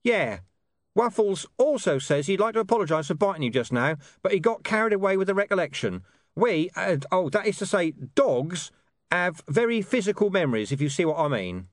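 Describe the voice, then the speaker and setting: A man's voice: high-pitched, very animated, fairly quick, no echo, clean studio sound, voice pushed up. A man voicing a streetwise talking dog who fumbles for words in an audiobook. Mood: wry. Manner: gruff, hesitant, searching for words.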